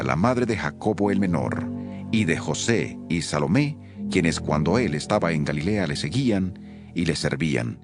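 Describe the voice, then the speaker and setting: A man narrating scripture from a script in a solemn, expressive manner. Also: deep voice.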